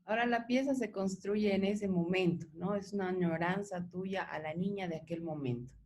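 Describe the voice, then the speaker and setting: A woman's voice: medium pitch, normal speed, a little mellow, a little hiss or hum. A woman with a Bolivian Spanish accent hosting a reflective interview, speaking in an articulate, discursive way with frequent tag questions. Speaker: interviewer, host of a radio art programme.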